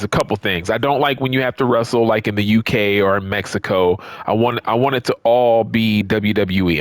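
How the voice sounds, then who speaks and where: A man's voice: on the low side, a bit bright, no room sound. A man giving casual, unscripted gaming commentary as a video creator, talking in a rambling, conversational, opinionated way.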